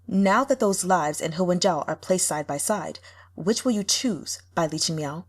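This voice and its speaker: A man's voice: sits high, lively intonation, normal speed. A woman voicing a menacing, impatient male sect lord in an audiobook, blunt, imperious and scornful. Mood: scornful, intense. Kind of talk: audiobook character acting.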